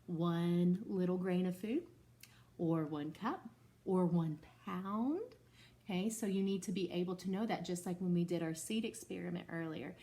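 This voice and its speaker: Expressive woman teacher reading aloud. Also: fairly low pitch, moderate pace. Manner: expressive, teacherly, explains patiently to children. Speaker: second-grade teacher. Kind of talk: reading a picture book aloud to a class, with explanations.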